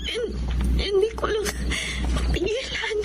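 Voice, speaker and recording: An actress playing a sensitive student daughter in a Tagalog radio drama, speaking with open emotion. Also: female voice, extremely high pitch, slow pace. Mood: tearful, hurt. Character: sensitive student daughter, hurt and yearning for approval. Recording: noisy background under voice.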